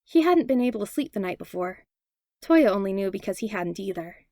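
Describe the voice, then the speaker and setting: A woman narrates a piece of fiction from a script. Her voice sits at an average female pitch, and the recording is close and dry, with hardly any room sound. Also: big pitch swings, brisk, warm tone, no echo. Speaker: podfic reader.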